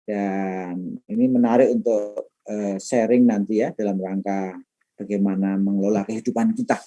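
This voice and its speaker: The mellow-voiced older man at the front of the first class of term, chatting with students about himself before the syllabus starts. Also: on the low side, steady tone, moderate pace. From Madiun, East Java, Indonesia. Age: middle-aged, late 50s. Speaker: lecturer and trainer. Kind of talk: informal class introduction, chatty autobiographical talk.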